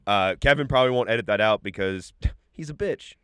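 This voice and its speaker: A man's voice: lowish voice, a little fast, fairly bright tone, smooth, no echo, clean studio sound. A young man co-hosting a casual comedy podcast, still congested from an illness, trading sarcastic jabs. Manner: sarcastic, banter-heavy. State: congested, with a cough. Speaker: podcast co-host.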